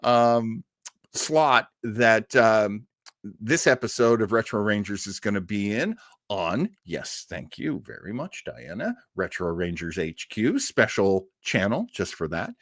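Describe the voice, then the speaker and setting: Man in his 60s, livestream host, lively varied pitch, dry sound, casual, effusive, rambling. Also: a little slow, no echo. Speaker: host of an online show.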